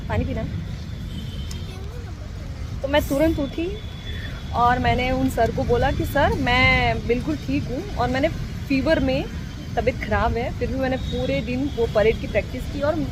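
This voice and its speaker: A woman's voice: sits high, noisy background. A young woman talking to the camera in a casual vlog, telling a story earnestly with encouraging asides. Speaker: vlogger.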